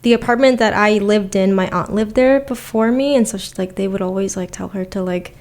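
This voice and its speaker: A woman's voice: mid-range voice, brisk, a little mellow. Rambling young woman guest. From Milwaukee, Wisconsin. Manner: rambling, filler-heavy. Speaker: podcast guest. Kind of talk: casual conversation.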